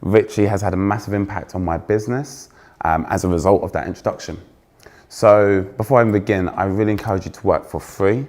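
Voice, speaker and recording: Young English male keynote speaker, fairly posh accent, loud, energetic, teases the crowd. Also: low-pitched, a little fast. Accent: trained-out Essex, now fairly posh English. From England. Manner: energetic, joking with the audience, self-deprecating. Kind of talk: conference keynote talk. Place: stage before a live audience.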